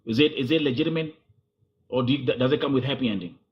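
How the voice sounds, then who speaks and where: Brief: man from Kenya, pushy host who talks over his guest, rapid delivery, muffled lo-fi sound. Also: medium pitch. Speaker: interviewer. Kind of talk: confrontational interview.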